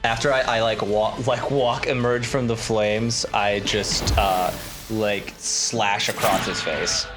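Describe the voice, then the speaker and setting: A man's voice: on the low side, steady tone, takes it slowly, over music. A man playing a tabletop role-playing game, casually describing his character's moves.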